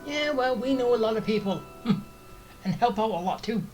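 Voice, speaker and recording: A woman's voice: fairly high pitch, animated, normal speed, low-quality recording. A woman giving casual, offhand, teasing commentary as they play a video game. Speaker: gamer commenting on a playthrough. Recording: loud game music behind voice. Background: game music.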